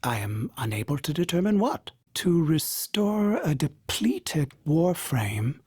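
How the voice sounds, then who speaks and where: A man's voice: slow, slightly rough, no echo, studio quality, exaggerated voice. A man voicing a devoted, fussy male ship AI who speaks formally and calls himself by name in the third person. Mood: earnest. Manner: sudden jumps in pitch and speed, fussy and excitable. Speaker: game character voice. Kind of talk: video game voice lines.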